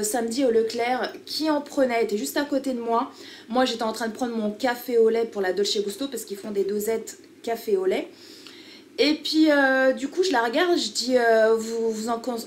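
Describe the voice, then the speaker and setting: A woman's voice: fairly high pitch, slight background noise. A woman in her thirties with a French accent, chatting casually to her viewers as she shows her small living room, rambling with lots of fillers. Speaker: vlogger. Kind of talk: casual home vlog chat.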